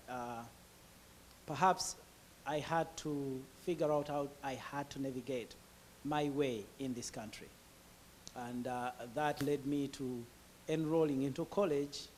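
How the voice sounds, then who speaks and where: A man's voice: medium pitch, a little mellow, slight background noise. A man with a Ugandan accent telling his personal story in a hesitant way, full of filler sounds and restarts. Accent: Ugandan English. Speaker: guest speaker.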